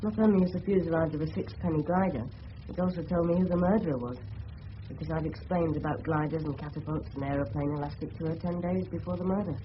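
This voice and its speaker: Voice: mid-range voice, quick pace, close to an everyday voice. An actor playing a polite, earnest English teenage schoolboy in a radio drama, respectful in manner. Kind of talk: 1970s British radio drama acting. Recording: muffled old radio recording with hiss.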